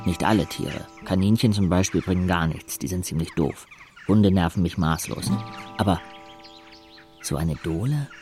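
Wry narrator with low voice over music. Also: male voice, animated, darkish tone. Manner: wry, reflective, measured. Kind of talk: first-person literary audio drama narration.

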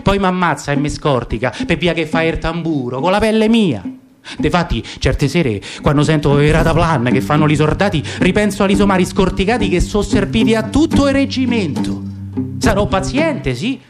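A man's voice: fairly high pitch, lively intonation, moderate pace, lightly acted. Man putting on a grumbling roman donkey, sick of his master, voice jumping around. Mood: aggrieved. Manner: aggrieved, complaining. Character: fed-up overworked male donkey, bitter laborer. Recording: guitar music behind.

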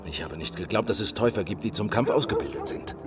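A man's voice: fairly low pitch, animated, fast, natural voice. An actor playing a wary, battle-ready Anabaptist swordsman, terse and resolute, in a German historical radio drama. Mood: wary, challenging.

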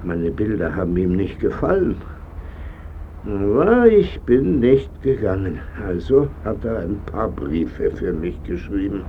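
Cantankerous, animated old painter. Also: male voice, lowish voice, measured pace, phone-quality sound, slight background noise. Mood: reminiscing. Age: older. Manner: grumbling, curt, imperious. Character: cantankerous, frail old male painter, commanding and suspicious. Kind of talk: radio drama dialogue.

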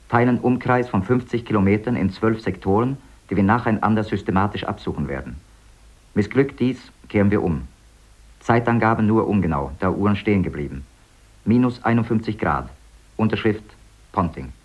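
A sober rescue expedition leader dictates a report in a lowish voice, formal and telegraphic. It is 1950s radio drama with a dull, narrow old sound. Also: male voice, expressive, moderate pace. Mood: sober, urgent. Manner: matter-of-fact, telegraphic, formal. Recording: dull, band-limited old radio recording.

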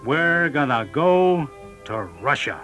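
A man's voice: on the high side, animated, slow pace, music in the background. A man playing a rich, adventurous and bossy eldest American brother in a cartoon-like audio fairy tale. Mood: bossy, assured. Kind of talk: cartoon-like audio play dialogue in English.

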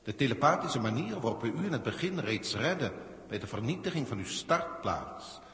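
An actor playing a cold, haughty alien proctor in a 1960s science-fiction radio drama, speaking formally and condescendingly. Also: male voice, mid-range voice, sing-song, brisk, fairly bright tone. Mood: stern, lofty. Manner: formal, pompous, condescending, archaic wording. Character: haughty alien proctor, cold galactic official testing Earth. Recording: echoey hall-like reverb, 1960s radio.

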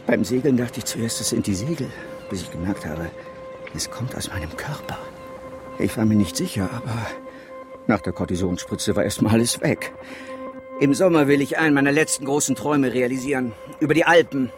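An actor in a German radio play voicing an ailing, driven male campaigner who turns anguished, with theatrical swings between slogans and halting confession. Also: medium pitch, expressive, over music, lightly acted. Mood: uneasy, confiding.

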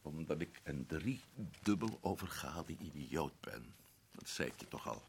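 A man's voice: rumbling low, very animated, a little slow, gravelly, a little hiss or hum, full character voice. An actor playing an adventurous man back from Africa, telling his story earnestly and urgently in a radio drama. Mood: narrating. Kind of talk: 1960s radio drama acting.

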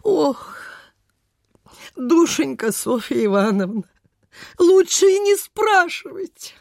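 A woman's voice: high voice, big pitch swings, takes it slowly, creaky, darkish tone, no echo, studio quality, full character voice. An actress playing a kindly, anxious provincial landlady in a radio play, sighing and fretful, with old-fashioned speech. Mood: fretful, pitying. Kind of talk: Soviet radio play acting.

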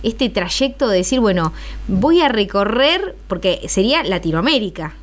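A woman's voice: mid-range voice, sing-song, normal speed. Chatty woman radio host. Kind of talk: casual radio interview.

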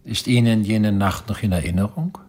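Low, very husky, measured physician. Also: male voice, a little mellow. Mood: calm, probing. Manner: reserved, probing, deliberate. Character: measured, earnest eighteenth-century physician and healer. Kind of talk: radio drama acting.

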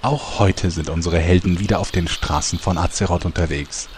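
A man's voice: low-pitched, fairly even intonation, moderate pace, slight background noise. A man narrating a parody audio drama in a mock-epic announcer tone.

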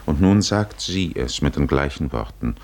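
A man narrating a 1950s literary radio play in a musing, measured manner with deliberate pauses. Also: very deep voice, animated, a little mellow.

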